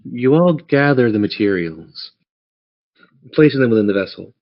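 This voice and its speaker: A man running a tabletop game as game master, narrating in a theatrical, measured storytelling manner. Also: mid-range voice, lively intonation. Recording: dull lo-fi audio.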